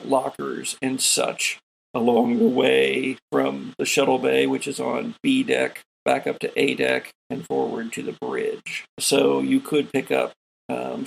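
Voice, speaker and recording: A man narrates a tabletop game as its game master. His voice is very creaky and unhurried, with a deliberate, explanatory delivery. There is faint noise in the recording. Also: mid-range voice, rough recording.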